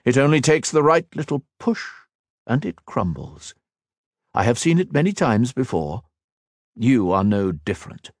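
A man voicing a cold, mocking villainous male sorcerer who taunts slowly and theatrically. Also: medium pitch, sing-song, no room sound, studio quality, pitched above the performer's own voice. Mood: taunting.

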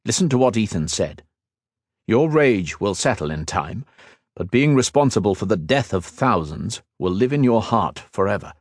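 A man playing a stern, protective king and father in an audiobook, speaking with deliberate authority. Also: lowish voice, big pitch swings, no echo, studio quality. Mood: grave, reasoning.

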